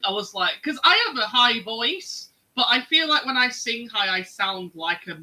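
A man from North East England talks as a podcast guest. His voice is high and very bright. He gets excited, trips over his words and swears. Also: normal speed, poor audio. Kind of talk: casual chat.

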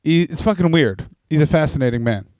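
A man's voice: a little high, animated, a little fast, darkish tone, no echo. Guy cracking wry jokes on a podcast, swears a lot, super dry close mic. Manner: wry, joking, swears freely. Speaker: podcast co-host. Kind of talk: casual wrestling podcast chat.

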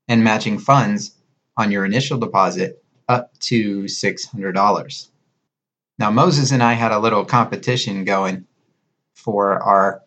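A man's voice: lowish voice, a bit bright. Male podcast host, slightly dull audio. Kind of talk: conversational podcast talk, sponsor reads and scripted narration.

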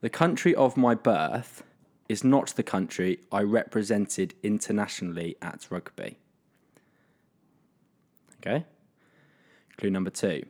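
A man's voice: fairly low pitch, measured pace, pauses often, darkish tone. A man hosting a casual podcast quiz, reading out clues with lots of ums and frequent laughter. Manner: laughs often, hesitant fillers. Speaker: podcast host running a quiz.

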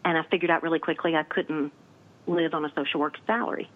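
A woman's voice: sits low, steady tone, fast. A woman from Nashville with a Southern accent, a cemetery tour guide chatting casually and laughing often. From Nashville, Tennessee. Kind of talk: casual chat. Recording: tinny phone line.

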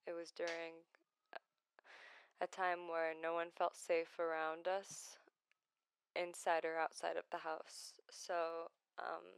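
A woman in her twenties from Iraq talks about her life in a personal vlog, gentle and hesitant, with many pauses and restarts. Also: fairly low pitch, monotone, clear, resonant tone, no echo. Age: 20s. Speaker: vlogger.

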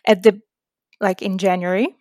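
A young Slovenian woman, speaking in a second language, chats casually as an interview guest. Her pitch is average for a woman. The sound is dry, with very little room in it. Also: steady tone, moderate pace, a little mellow, dry recording. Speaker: podcast guest. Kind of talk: casual chat.

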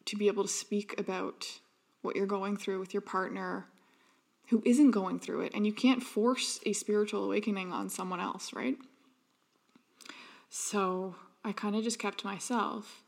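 Woman, 30s, podcast host, gravelly fry, mid pitch, earnest, reflective monologue, halting. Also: steady tone, darkish tone. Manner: earnest, with frequent ums and restarts.

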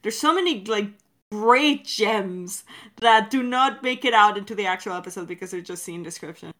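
A woman's voice: medium pitch, expressive, moderate pace, a bit bright. A woman hosting a casual Q&A as a director, effusive and very informal in the way she talks. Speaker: director and Q&A host. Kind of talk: casual chat.

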